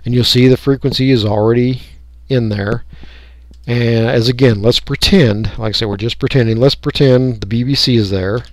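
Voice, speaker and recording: An American man giving a casual, unscripted software walkthrough, folksy and rambling as he thinks aloud. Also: mid-range voice, steady tone, moderate pace, dry recording. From United States. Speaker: radio hobbyist giving a software tutorial.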